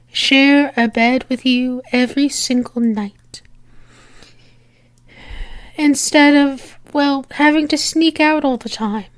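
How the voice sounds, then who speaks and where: A woman playing a lovesick demon in an intimate ASMR roleplay, pleading earnestly and haltingly with her angel lover. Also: high-pitched, smooth, no echo. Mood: yearning. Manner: earnest, halting, repeating herself in pleas.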